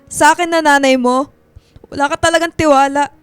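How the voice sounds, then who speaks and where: A woman playing an ailing, defensive older mother in a Filipino radio drama, indignant, melodramatic and quick to guilt-trip. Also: sits high, steady tone, dry recording, soft background music, natural voice. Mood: indignant, offended.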